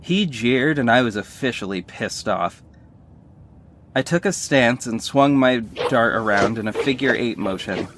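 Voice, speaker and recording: A man's voice: mid-range voice, animated, measured pace, a bit bright. An actor playing a sardonic male superhero who narrates his own story in a scripted audio drama, wry and earnest. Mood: tense recounting.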